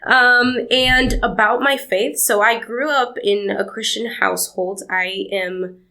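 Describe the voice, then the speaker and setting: A woman's voice: medium pitch, fairly even intonation, takes it slowly. A young woman from Argentina, answering interview questions in a rambling, storytelling way. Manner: warm, rambling storytelling with laughs. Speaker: podcast guest.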